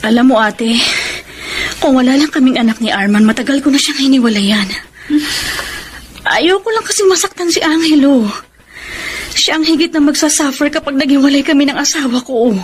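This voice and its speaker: Woman acting a very high-voiced, wounded Filipino wife. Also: a little hiss or hum, lightly acted. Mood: resigned sadness. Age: middle-aged. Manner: tearful, drawn-out emotional delivery. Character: Amor, weary long-suffering wife and mother, hurt and bitter. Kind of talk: Tagalog radio drama acting with English mixed in.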